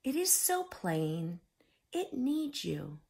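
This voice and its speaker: Here is a storybook hermit crab, played by a woman, earnest, polite and full of wonder. The pitch swings widely, the pace is slow and unhurried, and there is some creak in the voice. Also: sing-song, darkish tone, heavily acted. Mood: admiring, polite. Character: earnest, gentle hermit crab seeking a new home. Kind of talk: children's storybook character.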